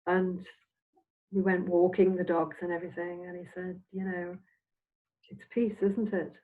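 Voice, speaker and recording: An older woman from Scotland, a semi-retired minister, reminiscing in a halting, meandering, reflective way as an interview guest. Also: on the low side, level tone. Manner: halting, meandering delivery with trailing phrases. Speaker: interviewed Spiritualist minister and medium. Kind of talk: reflective, anecdotal chat. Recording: dull, phone-like audio.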